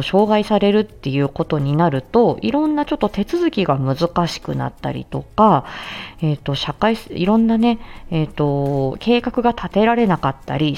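Lower-pitched woman explaining with very lively intonation. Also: highs cut off. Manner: conversational, explains step by step with examples. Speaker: speech-language therapist hosting an educational audio show. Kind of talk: casual explanatory talk.

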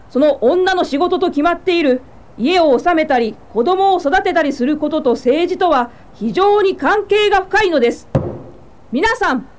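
An actress playing a dignified, encouraging women's suffrage leader in a Japanese radio drama, with formal, assured delivery. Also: female voice, extremely high pitch, a little hiss or hum.

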